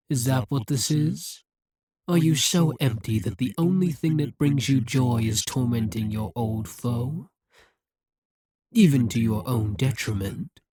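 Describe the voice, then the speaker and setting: An actor voicing a scheming, domineering demon monarch in a dramatic ASMR roleplay, speaking in a menacing, deliberate, taunting way. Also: mid-range voice, dark tone, slightly rough, dry recording, studio quality. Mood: frustrated, scornful. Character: domineering demon monarch, scheming, smug, coldly seductive captor.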